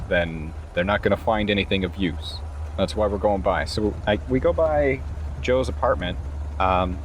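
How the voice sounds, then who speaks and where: Low-voiced man playing a tabletop game. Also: a little hiss or hum. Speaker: role-playing game player. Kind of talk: casual tabletop role-play, describing his character's actions.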